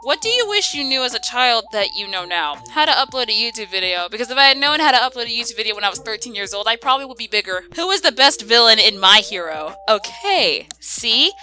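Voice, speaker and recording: A woman chatting casually as she answers viewer questions, animated and slangy, given to exclaiming. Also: on the high side, quick pace, hardly any pauses. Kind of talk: casual chat Q&A.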